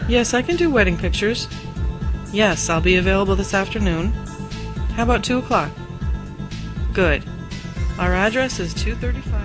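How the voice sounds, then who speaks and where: A woman acting a warm, teasing, supportive wife in an amateur radio drama, chatty and affectionate. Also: medium pitch, animated, normal speed, long pauses, music in the background, close to an everyday voice. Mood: businesslike.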